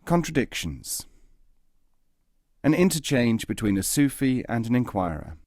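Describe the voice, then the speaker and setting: A man narrating scripted tales as an audiobook reader, in a measured, articulate storytelling manner. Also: medium pitch, big pitch swings, darkish tone, no room sound, studio quality.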